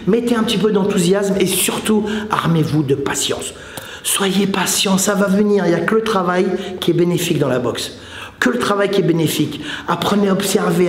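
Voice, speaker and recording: Higher-voiced Frenchman in an echoey room. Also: slight background noise. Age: late 50s. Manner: joking, clownish, colloquial. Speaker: Muay Thai coach and video host. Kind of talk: informal motivational advice to camera.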